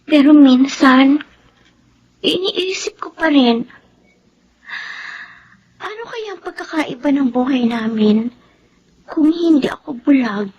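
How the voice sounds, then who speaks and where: A woman's voice: high voice, animated, slow pace, long pauses, lo-fi sound. An actress playing a sweet, earnest young girl in a radio drama, with a respectful, plaintive way of talking. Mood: uncertain, wistful.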